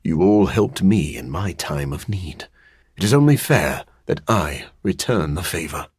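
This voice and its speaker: An actor playing a brooding, scholarly vampire in a video game, speaking formally with dry wit in a low, husky voice. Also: male voice, animated, measured pace, darkish tone, no echo, full character voice. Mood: measured, grave.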